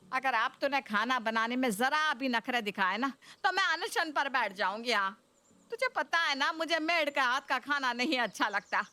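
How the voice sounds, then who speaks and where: A woman's voice: high-pitched, lively intonation, normal speed, bright, forceful tone, dry recording. A woman voicing a nagging, demanding older mother-in-law in a Hindi cartoon story, complaining and taunting. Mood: bossy.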